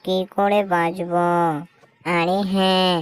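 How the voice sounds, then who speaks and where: A woman voicing a young orphaned fox girl in a cartoon, who speaks in a slow, wailing, pleading way. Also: lowish voice, flat delivery, clear, resonant tone. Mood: despairing. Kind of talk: Bengali cartoon voice acting.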